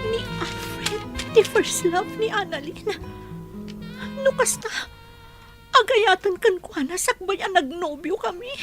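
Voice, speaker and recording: Piercingly high, indignant young Filipina. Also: female voice, expressive, slow, pauses often, music in the background, full character voice. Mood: accusing, upset. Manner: accusing, emotional. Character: hurt, indignant young woman who feels betrayed. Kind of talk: Filipino radio drama acting in Ilocano.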